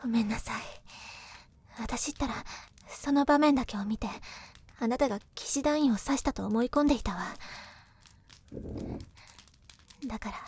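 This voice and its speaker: A woman's voice: fairly high pitch, a little fast, darkish tone, a little hiss or hum, lightly acted. A woman playing a proud, earnest, affectionate young princess with refined feminine speech in a Japanese voice drama. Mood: apologetic. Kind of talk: Japanese voice drama, intimate scripted dialogue.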